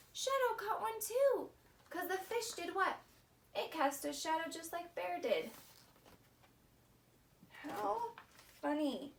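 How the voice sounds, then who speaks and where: A woman leading a children's story time, reading expressively in simple phrases and asking young listeners questions. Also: high voice. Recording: distant mic with faint background noise.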